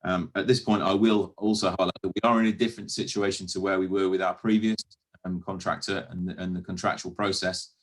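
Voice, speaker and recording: A British man speaking as a council officer in a formal meeting, explaining matters in a measured, careful way with some hesitant phrasing. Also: sits low, level tone. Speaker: council officer presenting to a committee.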